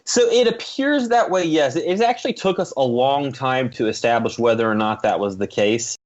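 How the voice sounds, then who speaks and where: A man's voice: mid-range voice, expressive. Man explaining, slightly dull audio. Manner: measured, careful qualifying. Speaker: interview guest, psychology professor and researcher. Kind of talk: explanatory interview talk.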